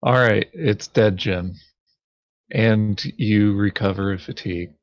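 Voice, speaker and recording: A man chats casually as he plays a board game, blunt and laid-back. His voice is on the low side and very unhurried, recorded dry and close. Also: dry recording, lo-fi sound. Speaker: tabletop game co-player.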